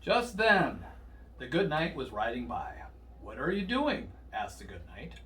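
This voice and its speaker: A man's voice: medium pitch, wildly swooping pitch, measured pace, pushed and bright. A man reading a children's storybook aloud. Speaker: storybook reader. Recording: distant mic, faint noise.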